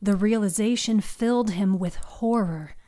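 A woman's voice: mid-range voice, moderate pace, warm tone. A woman reading scripted narration for a spooky fiction podcast. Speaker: writer, narrator and producer.

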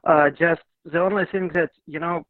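A man's voice: fairly high pitch, fairly even intonation, normal speed, no echo, phone-quality sound. A man from Ukraine calling in to a radio talk show, speaking hesitantly with many fillers and restarts over an internet call line. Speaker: caller.

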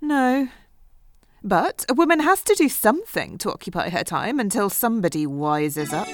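A woman's voice: mid-range voice, very animated, moderate pace. A woman playing an eager, coaxing superhero in a comic audio drama, wry and persuasive.

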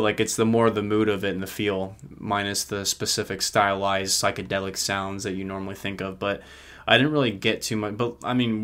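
A man's voice: on the low side, a bit bright, clean tone. A young man chatting casually about songs he listened to, rambling with lots of fillers and casual swearing, his throat getting sore. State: sore throat, feeling sick. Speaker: podcast co-host. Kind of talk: casual music review chat.

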